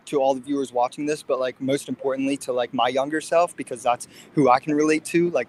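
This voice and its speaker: A man's voice: medium pitch, slight background noise, rough recording. A man in his late twenties talking casually and earnestly in a livestream conversation. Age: late 20s. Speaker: fitness guest.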